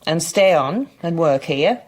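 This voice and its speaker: An actress playing a kindly, resolute older woman in radio drama, measured and reassuring, with a gravelly voice. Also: low-pitched, lively intonation, darkish tone. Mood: earnest, encouraging. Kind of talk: BBC radio drama acting.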